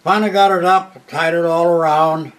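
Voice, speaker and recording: A man, a war veteran telling his story plainly with restarts and a loud voice. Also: fairly high pitch, steady tone. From Minnesota, USA. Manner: plain unhurried retelling with restarts. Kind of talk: oral history storytelling.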